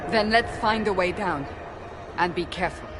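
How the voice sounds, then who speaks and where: A woman's voice: on the low side, moderate pace, noisy background, lightly acted. An actress playing a stern, determined woman warrior who leads with urgency in a fantasy game. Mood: commanding. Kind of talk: dramatic fantasy game acting. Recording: music behind the voice.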